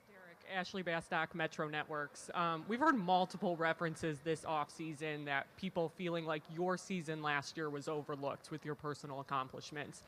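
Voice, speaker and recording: A man, a news reporter asking a measured, formal question at a press conference. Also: fairly high pitch, steady tone, fairly bright tone, slight background noise.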